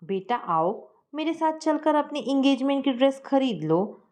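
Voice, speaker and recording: A woman's voice: high voice, very animated, measured pace, a little mellow, pitched above the performer's own voice. A woman voicing an anxious middle-aged mother in a storybook reading, restrained and uneasy.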